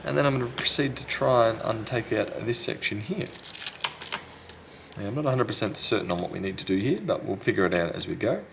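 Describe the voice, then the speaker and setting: Man, low creaky voice, casual repair walkthrough, unscripted, thinking aloud, slight background noise. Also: fairly quick. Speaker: repair technician, video host. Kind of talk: casual hands-on tutorial commentary. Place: indoors at a repair workbench.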